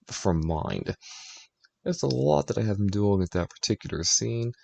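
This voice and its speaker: A man with a low, gravelly voice talks through his own writing in a relaxed, explanatory way. He thinks aloud as he goes, like a streamer chatting about his story. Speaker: streamer writing fan fiction.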